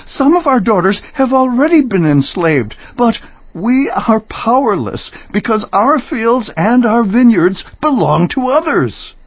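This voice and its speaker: An actor playing an indignant, debt-burdened villager with a pleading, aggrieved delivery in a scripture drama. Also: male voice, extremely high pitch, very animated, a little slow, dry recording, highs cut off, exaggerated voice. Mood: anguished, aggrieved.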